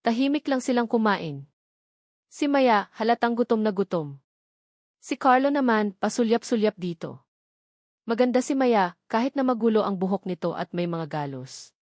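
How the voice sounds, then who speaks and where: A woman narrating a scripted romantic story in an expressive storytelling manner. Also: on the high side, moderate pace, pauses often, dry recording, studio quality. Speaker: audiobook narrator.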